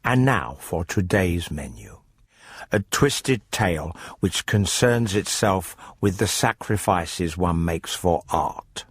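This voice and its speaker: A man with a fairly low voice presents and narrates a radio story. His delivery is scripted, dry and measured, and music plays clearly beneath him. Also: lively intonation, no room sound.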